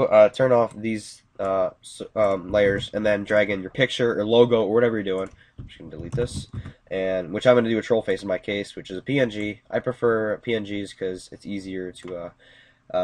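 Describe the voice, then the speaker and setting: A young man with a stuffy, nasal voice, pitched a little low, talks viewers through a screen-recorded editing tutorial. He is casual and upbeat, filling gaps with plenty of ums and uhs. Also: slight background noise. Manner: casual, upbeat, many fillers. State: congested from a cold. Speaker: tutorial host.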